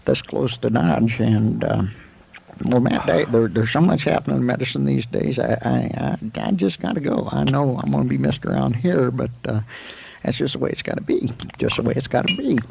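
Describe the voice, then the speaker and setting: A man playing a folksy, jokey, aging frontier town doctor in a western radio drama, with a rambling, stammering delivery and a gravelly voice. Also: mid-range voice, normal speed, a little mellow. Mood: folksy, chatty. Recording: faint noise, old 1984 amateur recording.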